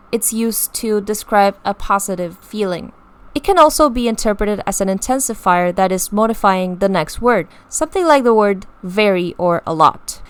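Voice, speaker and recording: A woman giving a scripted voice-over that explains song lyrics and language in a measured, patient way. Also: fairly high pitch, clean tone.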